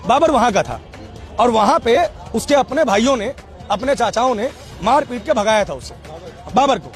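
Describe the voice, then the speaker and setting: A man's voice: very high voice, lively intonation, normal speed, a faint crowd. A man from Rajasthan, arguing in an impassioned street debate, lecturing and repeating his questions insistently. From Rajasthan, India. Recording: music behind the voice. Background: music.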